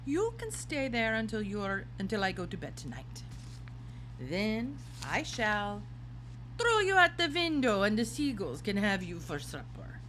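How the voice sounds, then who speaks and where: A woman voicing an imperious, menacing grand witch in a storybook, snapping and sneering with drawn-out words. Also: mid-range voice, big pitch swings, fairly bright tone, a little hiss or hum, voice pushed up, rough recording. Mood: gloating menace.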